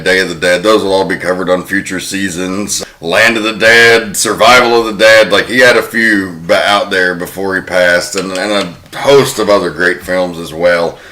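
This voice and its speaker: A man's voice: low voice, sharp tone. A man hosting a horror film podcast, chatting casually and narrating with a wry, laid-back manner. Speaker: podcast host. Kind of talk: casual chat and comic narration.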